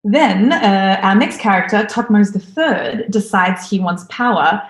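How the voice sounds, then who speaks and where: A woman in her thirties, from Australia, giving a recorded conference talk from home in an eager, lively way. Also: medium pitch, unhurried, echoey room. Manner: enthusiastic, lively presenter. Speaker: conference speaker.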